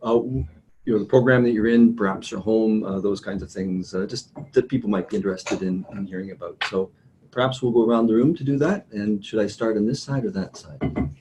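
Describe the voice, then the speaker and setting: A middle-aged man teaching informally in a classroom, speaking hesitantly with pauses and self-corrections. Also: lowish voice, darkish tone, poor audio. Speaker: university instructor. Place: classroom with online participants. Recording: faint background room noise.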